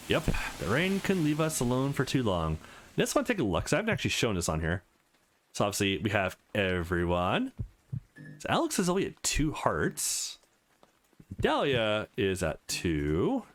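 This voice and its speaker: Very animated man narrating a game playthrough. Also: fairly low pitch. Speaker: gaming channel host. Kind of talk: visual novel playthrough, reading dialogue aloud with commentary. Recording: music playing behind the voice.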